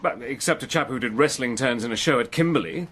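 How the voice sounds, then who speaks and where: An actor playing a British army major who talks in a dry, understated, unhurried way in a radio drama. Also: male voice, mid-range voice, a bit bright, breathy edge. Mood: wry. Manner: dry, understated, slow-paced. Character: British army major, brave and dryly self-deprecating. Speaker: Hannay, hero and narrator.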